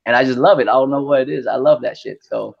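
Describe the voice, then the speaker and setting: A young man from Vietnam, a Vietnamese native speaker, chatting as an interview guest in an animated, slangy way. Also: medium pitch, fast, gravelly, lo-fi sound. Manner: animated, slangy, lots of "you know what I mean". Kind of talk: casual interview chat.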